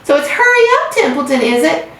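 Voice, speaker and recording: Woman doing a high whiny rat, sarcastic, mocking, very echoey, distant mic. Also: big pitch swings, brisk, a bit bright. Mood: sarcastic grumbling. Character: grumbling selfish male rat, sarcastic.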